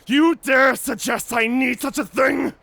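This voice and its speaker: A man playing a proud, honor-bound and taunting werewolf warrior in a cinematic audio roleplay, speaking formally with a menacing, raspy growl. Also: extremely high pitch, fairly even intonation, bright, forceful tone, no echo, full character voice. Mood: suspicious, proud. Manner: slow, menacing, theatrical, formal speech.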